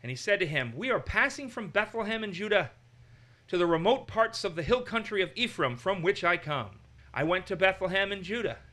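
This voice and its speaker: Male Bible teacher, lively and bright, slips into voices to act out scenes. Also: high voice. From Massachusetts. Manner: animated, acts out quotes in raised voices. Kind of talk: conversational Bible study.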